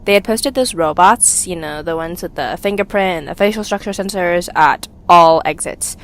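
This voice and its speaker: A woman playing a young fugitive rebel, bitter and haunted with grief, recording an intimate, halting confessional monologue for an audio drama. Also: fairly low pitch, lightly acted. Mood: haunted, shaken.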